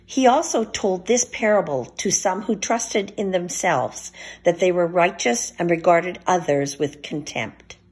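A woman reading scripture aloud for a church service in a measured, formal way. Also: on the low side, breathy edge. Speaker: scripture reader.

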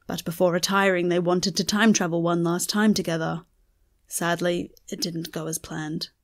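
A woman's voice: fairly low pitch, level tone, normal speed, lightly acted. A woman playing a sincere, hopeful storyteller in an audio drama. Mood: explaining.

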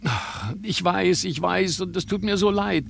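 An actor playing a guilt-ridden, gullible grieving son in an audio drama, earnest and halting. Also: male voice, high voice, vocal fry, fairly bright tone, a little hiss or hum, heavily acted. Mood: pleading, guilt-ridden. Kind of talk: radio play acting.

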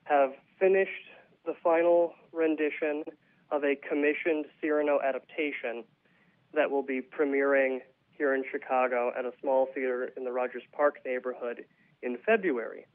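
A man's voice: medium pitch, steady tone, normal speed. A man being interviewed as a playwright, explaining his thoughts reflectively in a conversational way. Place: phone call to a radio show. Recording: tinny narrow phone line.

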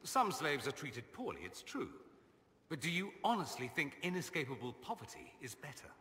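Game companion: a sardonic, self-amused mage noble, crisp bright voice, lively pitch, reverb tail. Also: male voice, moderate pace. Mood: wry. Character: witty, vain male mage nobleman, wry and worldly. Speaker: companion character. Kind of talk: video game dialogue.

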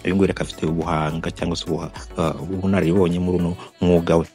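A man's voice: low-pitched, level tone, rapid-fire, darkish tone, soft background music. A Rwandan man narrating a tour video, talking on with many restarts and fillers. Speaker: video presenter.

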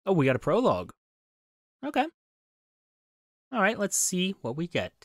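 A man's voice: high-pitched, wildly swooping pitch, normal speed, no room sound. A man hosting a game playthrough, giving casual, musing commentary with wry asides into a close microphone. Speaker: gaming video host. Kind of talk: casual game playthrough commentary, reading on-screen text aloud. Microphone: close microphone, voice-over.

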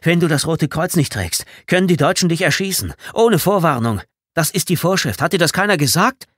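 An alarmed young airman in a prison camp, played by a male audiobook narrator. The voice is raised high and loud, urgent and indignant, with wide swings of pitch and a very dry sound. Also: big pitch swings, fairly quick, husky, no room sound, full character voice. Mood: urgent scolding. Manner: urgent, forceful shouting and scolding. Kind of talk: audiobook character acting.

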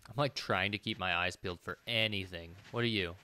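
A man's voice: sits low, brisk, sharp tone. A man in his thirties giving casual let's play commentary, thinking aloud and muttering to himself as he plays. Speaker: gaming video host.

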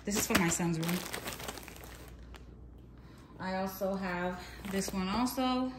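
A woman's voice: medium pitch, takes it slowly, reverberant, background noise. A woman casually showing her home and purchases on a handheld phone, talking in a rambling, self-correcting way. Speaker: home vlogger. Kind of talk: casual clean-with-me narration. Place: large bright home, kitchen and playroom. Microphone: handheld phone camera.

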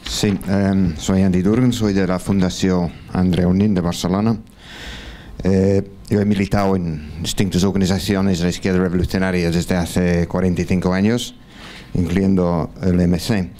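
A man's voice: sits low, level tone, moderate pace, mellow. A man in his sixties, a non-native Spanish speaker, standing in a large lecture hall and putting a question from the floor to a panel through a handheld mic, hesitant with many fillers and restarts. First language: not Spanish. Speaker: audience member at a conference. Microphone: handheld mic, standing in the audience.

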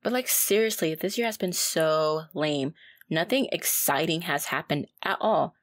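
A woman's voice: sits low, a little slow, no room sound. A woman playing a wry young demon student, casual, teasing and protective, in an audio roleplay. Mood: playful, teasing. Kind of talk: ASMR audio roleplay acting.

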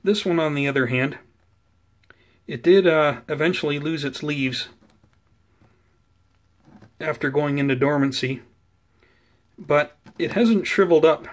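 A man's voice: fairly high pitch, measured pace, long pauses. Casual, conversational man narrating a plant update. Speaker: host of a plant-growing video channel. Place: indoors at home beside potted seedlings.

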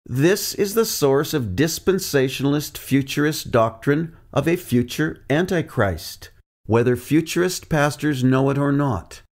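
A man delivering a scripted teaching narration as a preacher, measured and deliberate, reading out quotes from his sources. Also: a little high, animated.

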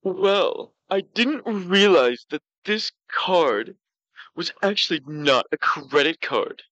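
Man playing a slow, pouty, high-voiced storyteller. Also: lively intonation, bright, forceful tone, no echo, muffled recording, exaggerated voice. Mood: self-satisfied. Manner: pouty, slow, halting delivery. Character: pouty, self-justifying, gluttonous male talk show storyteller. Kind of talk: comedic in-character storytelling.

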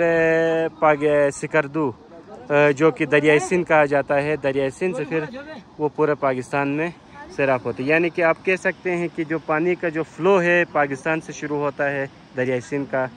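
A man, vlogging outdoors by a river in an enthusiastic, chatty way, full of fillers. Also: on the high side. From Pakistan. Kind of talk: outdoor vlog narration.

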